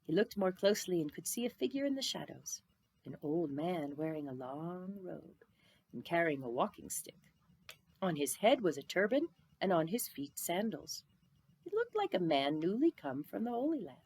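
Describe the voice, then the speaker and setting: A woman reading a children's story aloud in an expressive narrating style. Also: on the low side, moderate pace, breathy edge. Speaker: storybook reader.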